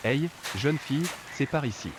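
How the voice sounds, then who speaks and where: A man's voice: medium pitch, lively intonation, moderate pace, noisy background, natural voice. A man playing a breezy, teasing guard on a prison island in an audio drama, casual and chatty.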